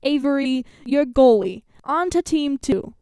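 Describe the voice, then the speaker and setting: A woman voicing a bossy female soccer coach in a storybook, announcing loudly and over-clearly in a New York accent. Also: high-pitched, lively intonation, a little slow, no room sound, clean studio sound. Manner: loud, over-enunciated announcing.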